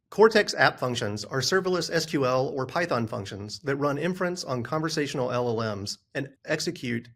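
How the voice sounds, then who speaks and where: A man reading scripted product narration in a measured, instructional way. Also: mid-range voice, creaky, fairly bright tone.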